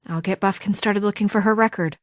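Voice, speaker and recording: A woman voicing a brisk, authoritative, no-nonsense woman administrator under pressure in a video game, crisp, earnest and firm. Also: medium pitch, fairly even intonation, no room sound, close to an everyday voice. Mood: businesslike, hurried. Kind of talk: naturalistic video game voice acting.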